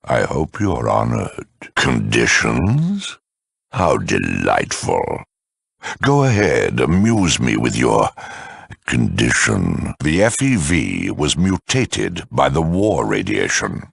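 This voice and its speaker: A man playing a smug, erudite super mutant lieutenant in a video game, talking with slow, menacing condescension in a deep rasp. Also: wildly swooping pitch, dry recording, studio quality, heavily acted. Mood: calm, lecturing, then silky menace. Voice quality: very deep, gravelly, rasping. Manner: condescending, eloquent, slow and deliberate. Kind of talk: theatrical video game villain acting.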